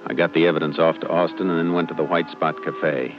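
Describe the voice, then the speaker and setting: An actor with an American accent playing a calm, methodical Texas Ranger who investigates and narrates in a 1950s radio crime drama. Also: male voice, extremely low pitch, fast, music in the background. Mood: measured narrating. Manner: laconic, folksy, matter-of-fact. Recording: muffled, noisy old radio recording.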